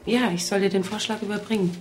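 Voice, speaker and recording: An actress playing an upper-class sister in a radio drama, coaxing in short, halting, insistent phrases. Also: female voice, medium pitch, fairly even intonation, faint music behind, lightly acted. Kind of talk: German radio drama acting.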